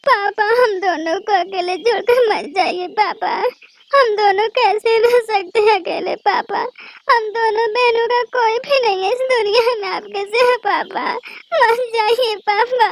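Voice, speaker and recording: A woman's voice: extremely high pitch, measured pace, slight background noise. A woman voicing a sad, pleading young girl bird in a Hindi cartoon. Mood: tearful, pleading. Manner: pleading, repetitive, plaintive.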